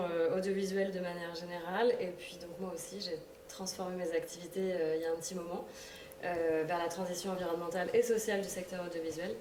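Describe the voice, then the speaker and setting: French woman, roomy and distant, lowish voice. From Normandy, France. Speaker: podcast guest, company founder. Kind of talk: casual self-introduction. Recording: roomy, distant mic with faint noise.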